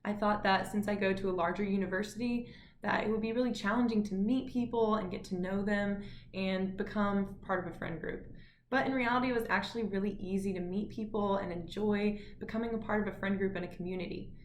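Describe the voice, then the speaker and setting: A woman's voice: mid-range voice, steady tone, fairly quick. Young woman, friendly and earnest, roomy echo, faint noise. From Fort Worth, Texas. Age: young adult. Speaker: student. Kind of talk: casual video chat to camera. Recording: echoey room, faint noise.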